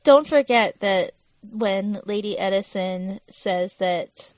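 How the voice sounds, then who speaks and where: A woman's voice: mid-range voice, slow, no room sound. A woman co-hosting a podcast in casual, conversational chat. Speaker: podcast co-host.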